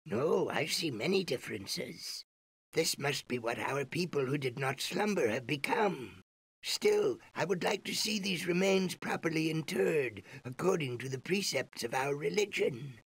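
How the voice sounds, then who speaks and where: Theatrical gravelly ancient alien. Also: male voice, fairly high pitch, expressive, fairly bright tone, no room sound, full character voice. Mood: grave, reflective. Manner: formal, theatrical, slow and deliberate. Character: solemn, courteous ancient alien awakened from long sleep.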